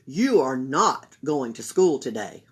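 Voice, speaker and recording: A woman voicing an alarmed, bossy mother in a read-aloud story, loud and commanding. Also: extremely low pitch, sing-song, slow, slightly rough, voice pushed down, full character voice.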